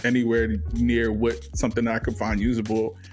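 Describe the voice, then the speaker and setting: American guy giving a casual gear review, pretty flat pitch, bit of music behind him. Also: fairly quick. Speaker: audio consultant and product reviewer. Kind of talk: casual unscripted testimonial.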